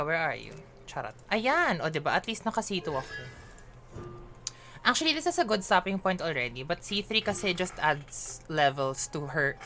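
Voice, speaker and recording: Lady streamer, low voice but really animated, playful chatty, game music behind. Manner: chatty, playful, code-switching, self-talking. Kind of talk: casual live gaming chatter.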